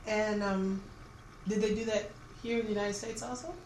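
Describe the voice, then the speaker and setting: A woman's voice: mid-range voice, steady tone, normal speed, a little mellow. A woman conducting a recorded oral history interview as the interviewer, asking questions. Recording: echoey room, clear background noise.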